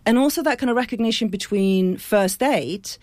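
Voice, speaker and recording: A woman's voice: on the high side, moderate pace, a little mellow, no echo. A woman from Iceland, a clinic founder speaking as a radio studio guest, thoughtful and earnest, explaining her ideas through everyday analogies. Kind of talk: studio interview.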